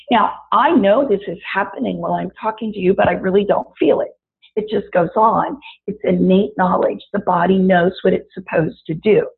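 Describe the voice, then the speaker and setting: Raspy-voiced woman leading a body talk. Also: medium pitch. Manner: warm, chatty, addresses listeners directly. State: raspy voice after illness. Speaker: wellness teacher. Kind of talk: guided body-awareness talk. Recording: tinny phone-like audio.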